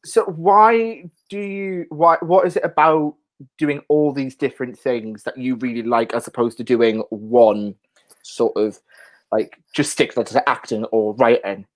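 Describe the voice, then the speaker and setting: A man's voice: mid-range voice, steady tone, unhurried. A man interviewing a guest casually as the host of a chat show.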